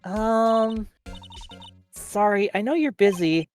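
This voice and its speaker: Woman playing lively young studio assistant girl. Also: medium pitch, slow, faint music behind. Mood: guarded. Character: young female studio assistant, chatty, earnest, easily affronted. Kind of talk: amateur group voice-over of a video game.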